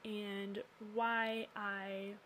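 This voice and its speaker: A woman's voice: medium pitch, level tone, extremely slow, a bit bright, slight background noise. A woman from the United States hosting a podcast, sharing a personal faith monologue in an earnest, reflective way. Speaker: podcast host.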